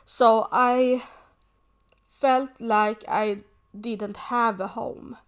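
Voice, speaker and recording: Woman hosting a solo podcast; slightly high-pitched, slow and thoughtful, pausing to find her words. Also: steady tone, smooth. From Sweden. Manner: earnest, reflective, pausing often. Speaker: podcast host. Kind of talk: personal reflective monologue.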